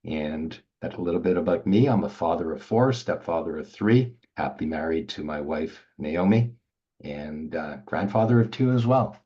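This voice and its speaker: An older man from Montreal co-hosts a webinar from home, talking loosely and greeting viewers by name as they join. His voice is very deep, and the video-call audio comes through dull and lo-fi. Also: lively intonation, normal speed, dark tone. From Montreal, Canada. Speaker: organization founder and webinar co-host. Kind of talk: casual webinar chat. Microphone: video call laptop mic.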